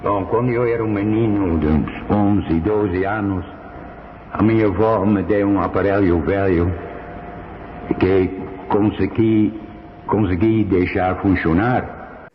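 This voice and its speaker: Deep, slow-talking man on muffled recording. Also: steady tone, slight background noise. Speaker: radio hobbyist being interviewed. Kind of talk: interview recollection.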